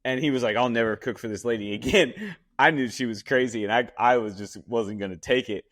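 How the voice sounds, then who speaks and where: A man's voice: mid-range voice, brisk, a bit bright. A man hosting a podcast, a chef talking in a blunt, casual way that is full of swearing and repeated words. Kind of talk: casual chat. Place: Los Angeles.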